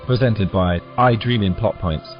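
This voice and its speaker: A man's voice: fairly low pitch, normal speed. A man delivering a scripted promotional intro as the announcer of an audio drama. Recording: slightly dull, music underneath. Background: faint music.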